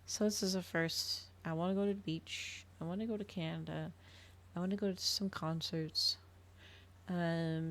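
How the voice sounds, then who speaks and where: A woman's voice: lowish voice, gravelly. A woman livestreamer chatting casually to her viewers, rambling and thinking aloud as she goes. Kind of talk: casual chat to stream viewers.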